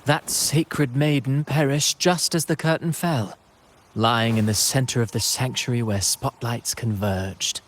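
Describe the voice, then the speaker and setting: An actor playing a courtly male playwright in a video game, speaking in grandiloquent phrases with dramatic pauses. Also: mid-range voice, slight background noise, lightly acted. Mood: wistful, theatrical. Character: courtly, theatrical male playwright, self-styled humble. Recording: music behind the voice.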